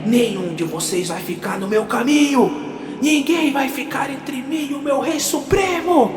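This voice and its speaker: A man voicing a possessive, desperate female monster in love, in theatrical audio drama style with impassioned, drawn-out delivery. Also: extremely high pitch, roomy sound, in a large hall, a crowd in the background, voice pushed up. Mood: furious, possessive.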